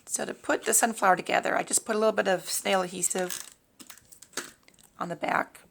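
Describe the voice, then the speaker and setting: A woman hosting a casual craft tutorial in a raspy, hoarse voice, talking informally and a little haltingly as she works. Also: medium pitch, steady tone, slight background noise. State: hoarse, throat-clearing from long talking. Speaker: host of a papercraft tutorial. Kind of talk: casual craft demonstration, talking while working.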